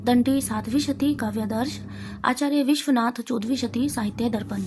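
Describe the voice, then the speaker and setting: Higher-pitched woman teacher, noisy recording. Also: moderate pace. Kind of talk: exam revision lesson, reading out questions, answers and lists. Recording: clearly noisy amateur recording.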